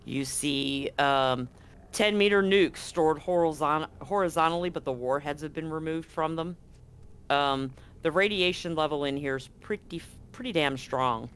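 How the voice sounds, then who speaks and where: A man's voice: fairly high pitch, moderate pace, fairly bright tone, clean tone. Loose, rambling man running a game. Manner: loose, casual, lots of fillers and restarts. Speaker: game master. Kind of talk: narrating a tabletop game.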